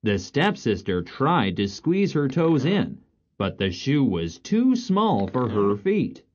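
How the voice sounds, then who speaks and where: A woman's voice: rumbling low, very animated, takes it slowly, darkish tone. A woman narrating a scripted children's story as the storyteller. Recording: dull lo-fi audio, some noise.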